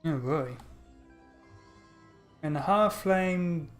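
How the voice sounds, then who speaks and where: A woman's voice: low voice, animated, extremely slow, faint music behind. A woman giving casual, offhand, muttered commentary as she plays a video game. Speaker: streamer playing a video game.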